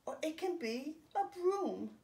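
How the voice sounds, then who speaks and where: A woman in her fifties reading a picture book aloud to young children, expressively, pausing to explain things simply. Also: high voice, lively intonation, darkish tone. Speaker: children's story time host. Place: home living room, indoors.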